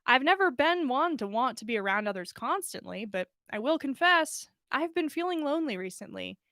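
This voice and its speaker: A woman podcast host reads fiction aloud with expression. Her voice is high and very varied in pitch, and it sounds dry, with little room around it. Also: sing-song, a little fast, pushed and bright, no room sound. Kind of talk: expressive story reading.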